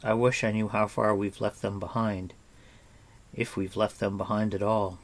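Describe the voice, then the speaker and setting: A man's voice: on the low side, level tone, normal speed, lightly acted. A man voicing an earnest young pig-keeper hero speaking urgently.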